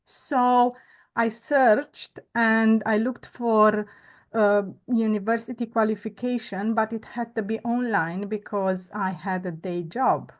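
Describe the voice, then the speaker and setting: A woman, a guest telling her story earnestly and reflectively, pausing often on "uh" and "um". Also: a little high. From Romania. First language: Romanian. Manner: earnest, reflective, with frequent "uh" and "um" pauses. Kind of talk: conversational interview storytelling.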